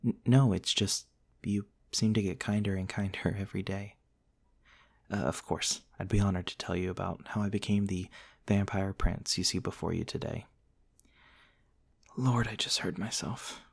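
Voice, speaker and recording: A man playing a gentle, courtly, centuries-old vampire prince in a soft, intimate ASMR roleplay, tender and hesitant with old-fashioned politeness. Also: low voice, steady tone, moderate pace, gravelly, breathy edge, exaggerated voice. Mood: gentle, reassuring.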